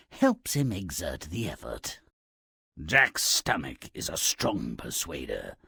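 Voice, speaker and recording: A man voicing a grumpy, gleefully malicious little imp who tells fairy tales in a video game, gravelly, raspy, theatrical and mocking. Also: fairly low pitch, fairly bright tone, dry recording, clean studio sound, heavily acted. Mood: dry, scornful. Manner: mocking, theatrical, relishing misfortune. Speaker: storyteller character of a fairy tale game. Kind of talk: theatrical storybook narration with game quips.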